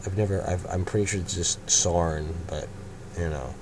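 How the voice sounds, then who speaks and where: A young man with a very low voice reads fanfiction aloud, tripping on words and cursing at his own slips. Steady background noise hangs under the recording. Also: normal speed. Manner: stumbling, swearing, laughs at own slips. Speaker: fanfiction reader, narrator. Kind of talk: amateur fanfiction reading and banter.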